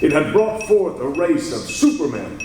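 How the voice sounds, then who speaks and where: An actor playing an earnest, confiding newspaper reporter hero who tells a story in old radio-drama style. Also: male voice, fairly high pitch, big pitch swings, moderate pace. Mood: grand storytelling. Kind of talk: 1940s-style radio acting. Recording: distant, echoey hall, faint noise.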